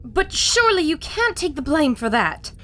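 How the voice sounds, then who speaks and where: Spirited loyal defender, high voice, really swoopy, indignant, radio play. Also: female voice, sing-song, moderate pace, a bit bright, a little hiss or hum. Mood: concerned. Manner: insistent, indignant. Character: spirited, loyal woman defending her accused friend. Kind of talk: radio adventure drama acting.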